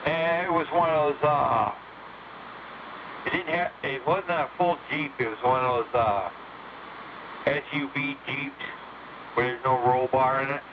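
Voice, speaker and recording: Clear static surrounds an American man talking on CB radio, his sound dulled and lo-fi. He chats casually and plainly, halting and repeating himself as he goes. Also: medium pitch. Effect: CB single-sideband radio. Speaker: amateur CB radio operator. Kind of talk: casual local-news chat.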